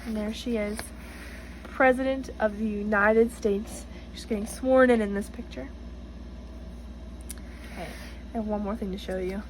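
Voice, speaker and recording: A woman reading a picture book aloud to children in an encouraging, teacherly way, pausing to address them directly with questions. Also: medium pitch, steady tone, slight background noise, low-quality recording. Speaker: storybook reader for children, student teacher.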